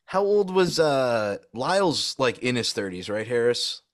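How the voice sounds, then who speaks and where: A man in playful podcast banter, teasing his co-hosts and tossing in bro. His pitch jumps around with lively swings. His voice sits in a middle range. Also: a little slow. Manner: playful teasing, uses 'bro' a lot. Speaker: podcast co-host.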